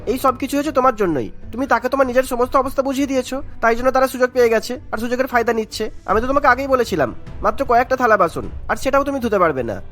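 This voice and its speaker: A man's voice: very high-pitched, animated, very fast, a bit bright, faint music behind. A man voicing an irritated, accusatory husband in a cartoon story. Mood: blaming. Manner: nagging, accusatory. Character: irritated husband, blaming and disapproving.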